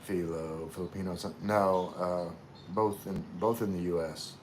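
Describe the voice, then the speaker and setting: A very deep-voiced man from Louisiana, chatting loosely with his live audience and answering comments as they come in. He talks in a relaxed, meandering way, full of "uh" and "you know". A faint hum sits under his voice. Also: a little slow. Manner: relaxed, rambling, many fillers and restarts. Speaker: livestream host reading chat. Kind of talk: casual live chat.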